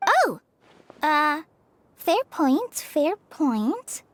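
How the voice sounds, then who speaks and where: A woman voicing an earnest, nervous teenage girl in a video game, shy and halting in manner. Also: sits high, sing-song, fairly bright tone, slight background noise, full character voice. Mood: curious, sheepish.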